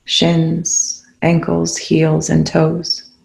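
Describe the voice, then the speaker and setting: Soothing meditation leader, really flat gravelly delivery, gentle phrases, roomy echo. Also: mid-range voice, drawn-out words. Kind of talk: guided meditation, soft instruction. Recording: roomy, echoing indoor sound.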